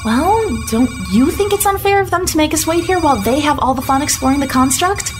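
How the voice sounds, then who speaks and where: A woman's voice: high voice, animated, brisk, noisy background. A woman playing a restless, impatient spaceship crew member in an audio drama, whiny and insistent. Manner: complaining, insistent. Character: restless, whining young female crew member, eager and impatient. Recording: loud music bed under the voice.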